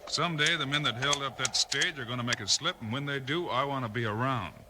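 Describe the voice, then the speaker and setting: An actor playing a determined frontier marshal in a 1950s radio western, firm and plainspoken. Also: male voice, mid-range voice, fast talker, close to an everyday voice. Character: steady, determined male US marshal hero. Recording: very noisy old radio recording.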